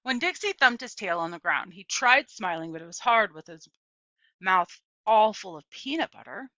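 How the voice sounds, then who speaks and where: Expressive, animated woman reading a story. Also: mid-range voice, moderate pace, dry recording, muffled recording. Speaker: audiobook reader. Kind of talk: expressive children's story narration.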